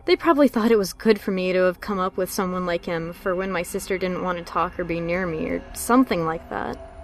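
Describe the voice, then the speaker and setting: A woman's voice: lowish voice, brisk. A woman giving a narrated reading of an eerie first-person childhood story. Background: background music.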